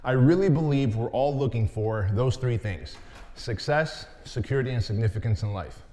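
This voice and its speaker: A man's voice: mid-range voice, lively intonation, moderate pace, warm tone. A man in his forties giving a motivational talk, telling his life story in a candid, conversational way. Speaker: motivational speaker. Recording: long hall reverb.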